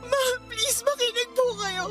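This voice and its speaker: An actress playing a frightened teenage daughter in a Filipino radio drama, pleading and distressed. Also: female voice, very high voice, unhurried, pushed and bright, faint music behind, full character voice. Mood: pleading, upset.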